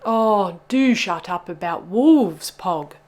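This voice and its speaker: A woman voicing a bossy, impatient male teddy bear in a children's storybook, brisk, snappy and self-assured. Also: lowish voice, sing-song, warm tone, breathy edge, exaggerated voice. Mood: snappy, scolding. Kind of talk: children's storybook read-aloud.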